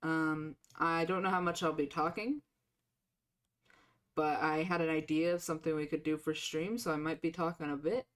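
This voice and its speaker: A woman streamer chatting casually and rambling with filler restarts, her voice deepened by a sore throat. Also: fairly even intonation, moderate pace. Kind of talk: casual live-stream chat.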